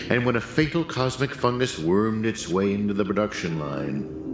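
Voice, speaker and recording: An actor playing a pompous, condescending research scientist in a video game, lecturing theatrically. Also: male voice, lowish voice, echoey room. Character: pompous second-class male research scientist, grandiose and condescending. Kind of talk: dramatic video game dialogue.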